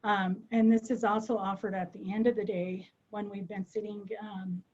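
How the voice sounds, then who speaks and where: A woman's voice: mid-range voice, flat delivery, moderate pace, a little mellow. Earnest woman on a muffled webinar line. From United States. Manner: earnest, many "um" fillers, thinks aloud. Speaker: behavioral health clinician presenting. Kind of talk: webinar presentation. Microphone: video call.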